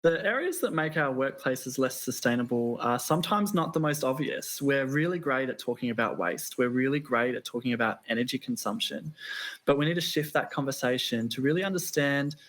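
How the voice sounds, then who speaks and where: A man speaking as a panelist, explaining points in a steady, list-like way. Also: medium pitch, brisk. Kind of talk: panel discussion answers.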